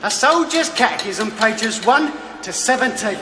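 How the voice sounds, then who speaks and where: A man's voice: very high-pitched, animated, unhurried. An actor playing a cocky, eager young male recruit, boastful and given to barking like a drill instructor. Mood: boastful, excited. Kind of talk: theatrical television stage-play acting. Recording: echoey hall, noisy old TV tape.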